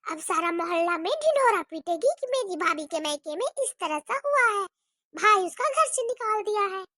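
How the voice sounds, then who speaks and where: A woman's voice: extremely high pitch, expressive, moderate pace, bright, forceful tone, no room sound, exaggerated voice. A woman voicing a nosy unmarried sister-in-law who mutters sly asides.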